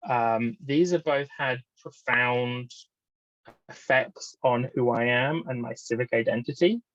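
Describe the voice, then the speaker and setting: A man with an English accent talks through a personal presentation in an explanatory, reflective manner. His voice sits at an average male pitch. The recording is dry, with a dull, lo-fi sound. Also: measured pace, fairly bright tone, dry recording. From Southwest London, England.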